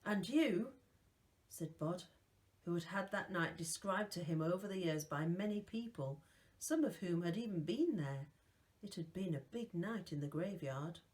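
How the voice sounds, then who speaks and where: A woman reading a novel aloud as a storyteller, in a slow, deliberate manner. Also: on the low side, animated, a little mellow.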